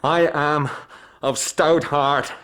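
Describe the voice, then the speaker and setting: An actor playing a tough, defiant outlaw with a bold, terse delivery in a radio drama. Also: male voice, fairly high pitch, steady tone, drawn-out words, a bit bright, smooth.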